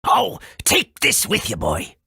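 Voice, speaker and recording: A man voicing an eccentric, excitable rustic guide in a video game, with a gravelly, rambling delivery. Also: fairly high pitch, dry recording, studio quality, heavily acted. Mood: encouraging. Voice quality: gravelly, raspy. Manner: halting, rambling, exclamatory. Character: eccentric rustic guide giving ominous warnings, forgetful and excitable.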